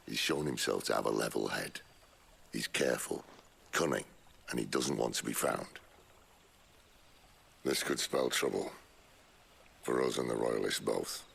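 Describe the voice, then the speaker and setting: An actor playing a gruff, wry, world-weary middle-aged outlaw leader in a video game, with gravelly, colloquial delivery. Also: male voice, extremely low pitch, a bit bright, exaggerated voice. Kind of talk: video game cutscene acting.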